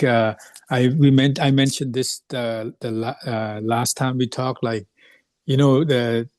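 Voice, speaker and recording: A man speaking in a panel talk, hesitant and halting. He stops and restarts as he searches for words. His voice sits mid-range and sounds dry and close. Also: medium pitch, a little mellow, no echo. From Burma, raised in Thailand. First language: Thai. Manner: hesitant, many fillers and restarts. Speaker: artist.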